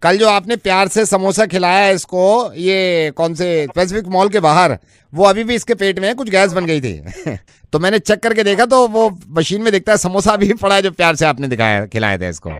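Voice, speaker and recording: A man's voice: high-pitched, expressive, moderate pace, fairly bright tone, no room sound, clean studio sound. A man playing a chatty, teasing clinic doctor on a prank phone call, mixing English into his Hindi. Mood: mock serious. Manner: code-switches between Hindi and English.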